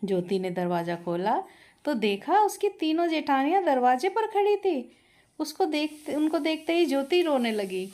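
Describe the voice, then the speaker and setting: A woman's voice: high-pitched, big pitch swings, moderate pace, a little mellow. A woman narrating a scripted family moral story as a storyteller.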